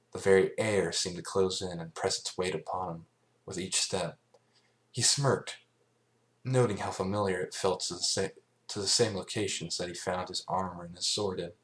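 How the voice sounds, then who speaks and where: A man reading scripted story narration as an amateur, in a halting way, stumbling and rereading phrases. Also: low-pitched, fairly even intonation, rough recording. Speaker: amateur fan fiction reader.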